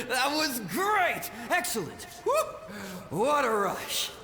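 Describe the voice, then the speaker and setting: A battle-hungry oni swordmaster ghost from a game. The voice is hearty and bold, swinging widely in pitch with very slow, savoured phrases. A long ghostly echo trails it. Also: male voice, pushed and bright, full character voice. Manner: hearty, challenging, laughing. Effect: ghostly reverb. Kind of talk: video game voice acting. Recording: long echoing reverb, faint noise.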